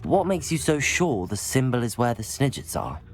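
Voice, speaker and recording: Man playing a polite, lively-toned student wizard. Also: mid-range voice, normal speed, soft background music, lightly acted. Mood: curious, determined. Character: calm, inquisitive teenage student at wizard school. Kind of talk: naturalistic video game cutscene acting.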